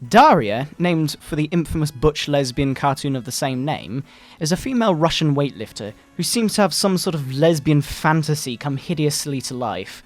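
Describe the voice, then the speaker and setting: Man putting on a pompous, high-pitched fire-and-brimstone moral crusader. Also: natural voice. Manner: pompous, melodramatic preaching with drawn-out words. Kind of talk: mock-dramatic satirical reading.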